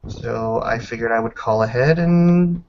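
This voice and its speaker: A man's voice: mid-range voice, measured pace, creaky. Man playing a tentative friendly woman, noisy lo-fi mic. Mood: tentative, kindly. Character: sheepish, friendly female werewolf offering a gift.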